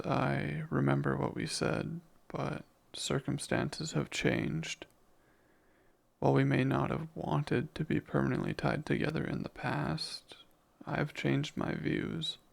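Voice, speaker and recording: Guy doing a jealous demon boyfriend for asmr, really slow n intimate, super gravelly, devoted. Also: fairly high pitch, heavily acted. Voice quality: breathy, gravelly. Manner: slow, deliberate, ardent, possessive. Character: jealous, devoted male demon confessing love. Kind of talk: intimate ASMR romance roleplay.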